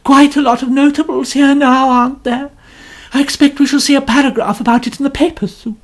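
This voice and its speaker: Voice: high-pitched, steady tone, a little fast, voice pushed up, natural voice. A narrator voicing a pompous, melodramatic older woman novelist who talks in a complaining way. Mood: gushing.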